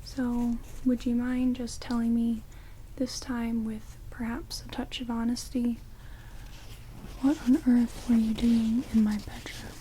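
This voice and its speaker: A woman playing a sardonic, grumbling vampire in a soft, half-whispered ASMR roleplay, muttering dryly close to the microphone. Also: fairly high pitch, flat delivery, takes it slowly, slight background noise, low-quality recording. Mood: exasperated, sarcastic. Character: sardonic, grumbling female vampire grudgingly tending an intruder. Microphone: close binaural microphones. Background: heavy rain.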